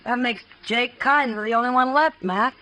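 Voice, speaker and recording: A woman's voice: fairly high pitch, normal speed, pushed and bright. An actress playing a frank, worldly, caring woman of the Old West in a 1950s radio western, direct and knowing. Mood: matter-of-fact. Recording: noisy 1950s radio recording.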